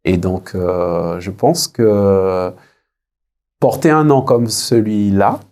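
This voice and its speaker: A man in his thirties speaks in a low, very mellow voice. He is an interview guest from French Guiana. He talks reflectively and conversationally, often pausing to find his words. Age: 30s.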